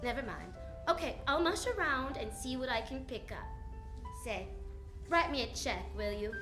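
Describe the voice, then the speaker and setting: High-voiced wisecracking lady detective acted by a woman. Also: lively intonation, moderate pace, music in the background, close to an everyday voice. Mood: cool, sardonic. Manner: sardonic, snappy, quipping hard-boiled delivery. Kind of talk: old-time radio detective acting.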